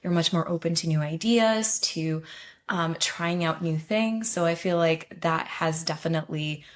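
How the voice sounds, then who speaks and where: A fairly low-voiced young woman from Ukraine chats as an interview guest. She talks loosely, hedging with ums and restarting her sentences, and laughs easily. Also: unhurried. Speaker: guest, travel consultant. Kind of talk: casual interview conversation.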